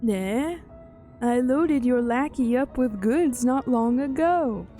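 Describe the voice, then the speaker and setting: A puzzled fantasy game merchant acted out by a woman talks plainly and slowly. The delivery is hesitant and questioning, with a soft, mellow tone over game music. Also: high voice, animated. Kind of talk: reading game dialogue aloud in character.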